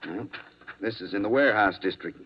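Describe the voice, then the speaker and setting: An actor playing a steady, businesslike male police sergeant in a 1950s radio drama. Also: lowish voice, fairly quick, noisy background, natural voice. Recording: dull old radio recording.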